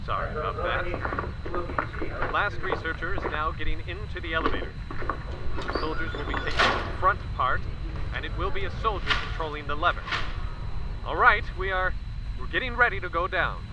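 An actor playing a seasoned war correspondent who reports live in a measured, descriptive way. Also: male voice, fairly high pitch, lively intonation, dull audio, heavy background noise, lightly acted. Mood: steady reporting. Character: seasoned male war correspondent, steady then panicked. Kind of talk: radio drama acting.

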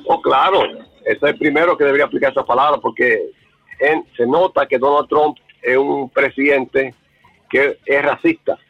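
A man from the Dominican Republic talks earnestly with a husky, breathy voice. His sound is dry and close but comes through a dull, muffled line. He often repeats a word while he searches for the next one. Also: mid-range voice, fairly even intonation, normal speed, dry recording. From Dominican Republic, raised in Puerto Rico. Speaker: interviewee, bar owner. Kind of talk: remote interview.

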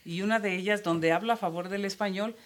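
A woman, co-hosting a radio discussion in a measured way and bringing in quotations to read. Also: mid-range voice, steady tone. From Mexico. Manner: measured, reads quotations aloud.

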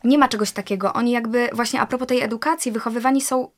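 A woman's voice: on the high side, steady tone, fast talker. A young woman from Poland, a guest in a casual interview, talking thoughtfully in long searching sentences with many fillers. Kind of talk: casual conversation.

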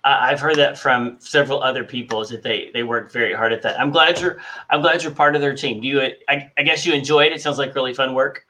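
A man hosting a podcast, asking slow, hesitant questions with fillers. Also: medium pitch, sharp tone. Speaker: podcast host. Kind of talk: interview host.

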